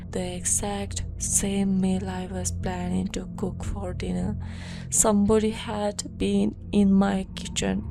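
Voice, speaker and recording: Slow, flat-toned woman narrating horror. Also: medium pitch, creaky, noisy background. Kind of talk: scripted first-person horror narration.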